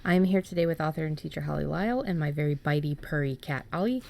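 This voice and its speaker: A woman's voice: low voice, quick pace, creaky, a little mellow, dry recording. A woman speaking as a podcast host, chatting casually and reading out listener questions.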